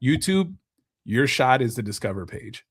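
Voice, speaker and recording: Guest creator, mid-pitch man, lively explainer with game talk. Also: normal speed, husky. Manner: animated, explains with gaming comparisons. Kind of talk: casual podcast chat.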